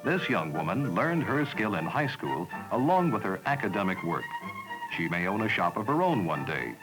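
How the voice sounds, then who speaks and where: Animated low-voiced man, 1960s film narrator. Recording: scratchy 1960s film soundtrack. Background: music.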